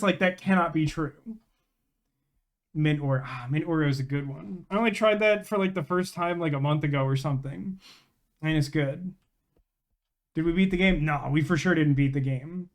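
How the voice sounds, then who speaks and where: A man chatting casually on a live game stream, rambling and emphatic, with slangy phrasing. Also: high voice.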